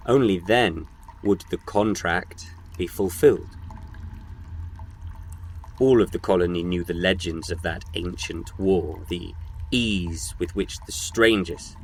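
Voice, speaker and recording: A man narrating a scripted audio drama story in a solemn, measured way. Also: deep voice, a bit bright, slight background noise. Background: music.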